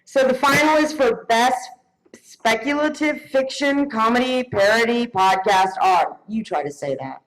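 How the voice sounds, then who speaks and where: A woman with a fairly high voice presents an award live. Her delivery is casual and playful, like unrehearsed banter. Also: measured pace, poor audio.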